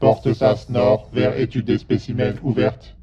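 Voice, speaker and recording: A man's voice: medium pitch, level tone, measured pace, muffled recording, a crowd in the background, lightly acted. A man voicing an impassive shipboard computer that makes formal announcements in an audio drama. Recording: loud music behind.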